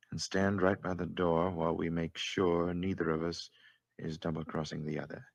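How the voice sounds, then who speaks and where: An actor playing a mocking, quietly sinister killer in 1940s radio thriller style. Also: male voice, sits low, vocal fry, no room sound, muffled recording, heavily acted. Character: menacing, mocking killer, smooth middle-aged man.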